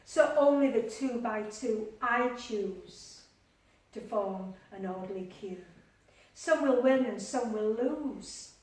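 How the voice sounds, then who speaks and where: A woman's voice: fairly high pitch, takes it slowly, darkish tone. A woman reading aloud as a stern, dismissive male ark builder who gives commands. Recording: distant voice in a very echoey room.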